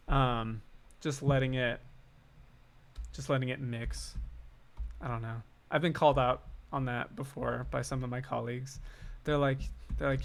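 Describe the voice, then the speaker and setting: A man's voice: medium pitch, fairly bright tone. A man hosting a livestream on composing, thinking aloud in a casual, chatty, informal way. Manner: informal and chatty, hums snatches of melody. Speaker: composer hosting a livestream on writing game music.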